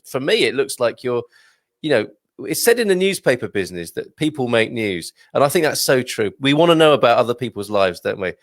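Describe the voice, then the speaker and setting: A friendly, upbeat man hosting a relaxed online show, tripping over his words as he goes. His voice is mid-pitched and sounds close and dry, with no room around it. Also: fairly quick, no room sound. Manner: friendly, enthusiastic, with hesitant restarts. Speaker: host. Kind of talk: casual chat show hosting.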